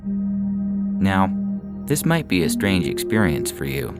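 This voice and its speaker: A man voicing a calm, professional male medical technician in an audio short story, explaining procedures in a measured, reassuring way. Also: sits low, voice pushed up, full character voice. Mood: gentle, guiding. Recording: music bed under the reading.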